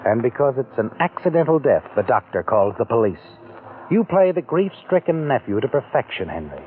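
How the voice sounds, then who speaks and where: A man's voice: medium pitch, sing-song, a little fast, a little hiss or hum. A man narrating a suspense story in second person with an insinuating, knowing manner, on a tinny old radio recording. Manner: insinuating, addresses the listener as "you".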